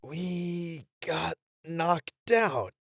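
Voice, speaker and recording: A man's voice: on the high side, extremely slow, creaky, a bit bright, breathy edge, no echo, clean studio sound, heavily acted. A man playing a cocky, flirtatious captured master ninja with a flippant, sighing delivery in a scripted ASMR audio roleplay. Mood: annoyed, flippant.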